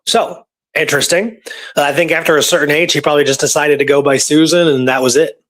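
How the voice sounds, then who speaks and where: Male podcast host, slightly high voice, informal and explanatory history chat. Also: fairly even intonation, quick pace, fairly bright tone. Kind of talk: casual conversational history talk.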